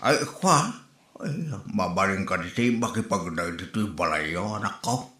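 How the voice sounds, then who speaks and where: Feckless hard-drinking older dad, Ilocano accent, pleading and animated, bright voice male actor, for comedy audio drama. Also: low-pitched, moderate pace. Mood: sheepish pleading. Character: feckless older father who drinks and squanders money. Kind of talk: Ilocano comedy radio-style drama acting. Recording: roomy echo.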